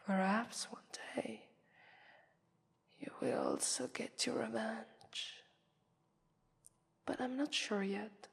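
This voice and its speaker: A woman with an Italian accent voicing a haughty, taunting vampire mistress in an ASMR roleplay, breathy, condescending and often whispering. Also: a little high, fairly even intonation, takes it slowly, pauses often, exaggerated voice. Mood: predatory, deliberating. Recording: long reverberant echo.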